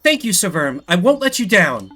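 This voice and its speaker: A man's voice: high-pitched, very animated, moderate pace, sharp tone, faint music behind, voice pushed up, exaggerated voice. A man voicing an earnest, eager young female mouse who pleads to tag along in a video game.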